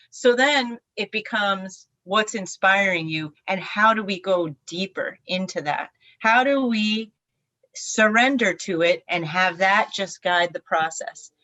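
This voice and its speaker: A woman's voice: mid-range voice, fairly bright tone, no echo, dull audio. A woman musician being interviewed, talking in a reflective, rambling way with many fillers and restarts. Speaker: band singer, interview guest. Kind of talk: casual interview chat.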